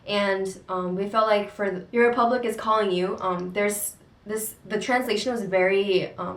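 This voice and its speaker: A young woman co-hosting a casual book discussion, speaking thoughtfully with frequent hesitant pauses. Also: medium pitch. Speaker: co-host of a book discussion channel. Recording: echoey room, distant mic.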